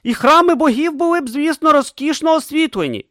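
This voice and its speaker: A man voicing an earnest, excitable young male inventor who explains his ideas eagerly in a raised voice. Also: extremely high pitch, brisk, no echo, clean studio sound, pitched above the performer's own voice, exaggerated voice.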